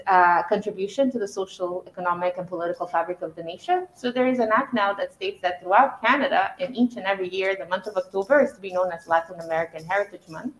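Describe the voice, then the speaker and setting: A woman teacher speaking enthusiastically about her class project in a classroom. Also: mid-range voice. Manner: enthusiastic, explanatory. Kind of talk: interview answers. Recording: echoey, dull classroom sound.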